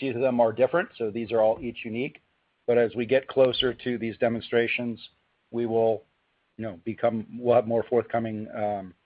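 A man's voice: fairly low pitch, no room sound. A man, a company executive, answering business questions on an earnings call in a conversational, hedging way. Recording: tinny, very dry phone line.

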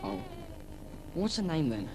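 A man's voice: a little high, big pitch swings, measured pace, over music. A young male actor playing a cheeky, boastful teenage boy who spins tall tales and schemes to start a band, in a 1990s children's TV drama. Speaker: band member. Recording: noisy old VHS tape.

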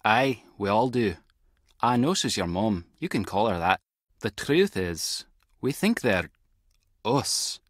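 An actor playing a wry, clever, tech-savvy spy agent with a Scottish accent and a husky voice in an adventure game. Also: male voice, fairly low pitch, animated, takes it slowly, long pauses, dry recording, studio quality. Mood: wry, explanatory. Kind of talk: scripted adventure game dialogue.

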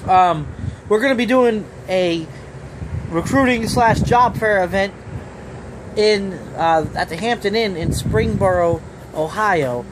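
Casual guy in his thirties, phone-at-arm's-length vlog outside, chatty and rambling, with faint traffic noise behind. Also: high-pitched. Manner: rambling, filler-heavy, keeps saying okay. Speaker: trucker vlogger. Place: outdoors under a building awning. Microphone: phone camera at arm's length.

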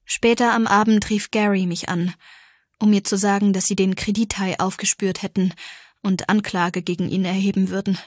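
A woman narrates an audiobook in the first person. Her reading is expressive and scripted, with a slightly husky tone and a middling pitch. The sound is very dry and close. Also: normal speed, no room sound.

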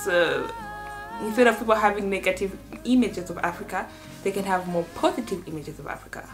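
A woman's voice: mid-range voice, a little fast, over music, low-quality recording. A young woman hosting a casual vlog from her bedroom, playful and laughing often as she teaches phrases. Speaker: vlogger host. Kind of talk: casual chat. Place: bedroom, indoors.

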